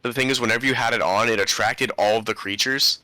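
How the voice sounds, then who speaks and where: A man's voice: mid-range voice, steady tone, quick pace, pushed and bright, no room sound, poor audio. A young man hosting a podcast, telling stories in a laid-back, rambling way with slang. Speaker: podcast host. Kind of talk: casual chat.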